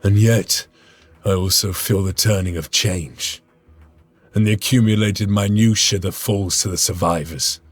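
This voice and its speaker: An actor playing a grim veteran space marine sergeant, brooding and stern, with a husky voice. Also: male voice, fairly low pitch, fairly even intonation, unhurried, dry recording. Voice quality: gravelly, husky. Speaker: sergeant narrator. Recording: game cutscene with music.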